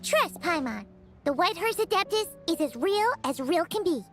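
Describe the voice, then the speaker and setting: A woman voicing a tiny, excitable, boastful fairy companion in a video game, with a perky manner. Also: extremely high pitch, normal speed, exaggerated voice. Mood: eager, proud. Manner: speaks of herself in third person, perky. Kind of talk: video game character acting. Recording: game music behind the voice.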